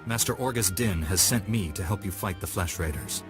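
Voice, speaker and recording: A man's voice: low voice, brisk, close to an everyday voice. A man voicing a young, action-minded Jedi knight who speaks directly and dryly, in a studio video game cutscene. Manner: direct, dry. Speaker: Jedi apprentice. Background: orchestral game music.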